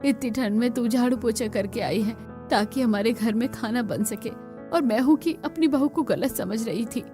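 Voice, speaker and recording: A woman's voice: fairly high pitch, normal speed, over music, lightly acted. A woman voicing an older cartoon mother-in-law who is stern, worrying and caring. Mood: remorseful. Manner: scolding, worrying.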